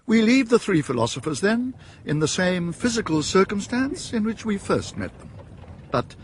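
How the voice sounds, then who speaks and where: A man's voice: sits high, sing-song, normal speed, slight background noise. An actor playing the genteel narrator of a period radio satire, a man with a British accent and an arch, literary manner. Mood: arch, wry. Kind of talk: scripted radio drama narration.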